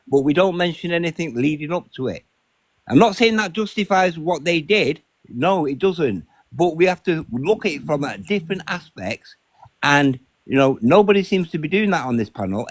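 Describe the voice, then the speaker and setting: Male panel caller, broad Mancunian, argumentative and insistent, higher-pitched, very dry sound. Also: dry recording. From Salford, Greater Manchester, England. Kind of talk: heated live debate.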